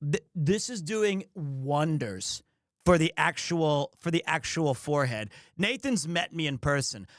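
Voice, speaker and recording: A male streamer with a fairly high voice talks casually to his viewers. He rambles and jokes at his own expense. The sound is close and dry. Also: lively intonation, measured pace, no echo. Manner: joking, self-deprecating, rambling. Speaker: streamer, host. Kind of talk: casual livestream chat with viewers.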